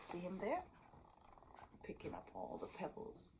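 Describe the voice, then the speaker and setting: A woman's voice: fairly low pitch, vocal fry, background noise. A woman hosting a children's storytime, reading a picture book aloud expressively and talking directly to young listeners. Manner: animated, deliberate, speaking directly to young listeners.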